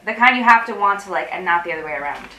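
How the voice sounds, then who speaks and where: Anxious, bright-voiced woman in echoey room acted by a woman. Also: lowish voice, expressive, rapid-fire. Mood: guarded, prying. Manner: rambling, self-correcting, awkward. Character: anxious, wry, guarded woman running a tech-averse support group. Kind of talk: staged play reading, naturalistic dialogue. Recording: roomy, distant mic with faint noise.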